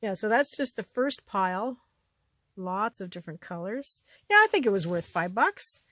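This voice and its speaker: A woman from Canada with a slightly scratchy voice talks casually while she shows things off, her pitch sitting in an ordinary middle range. She often drifts into quieter asides before picking up again. The recording is dry and close, with no room sound. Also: animated, normal speed, no echo. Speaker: vlogger showing a thrift haul. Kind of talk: casual show-and-tell chat.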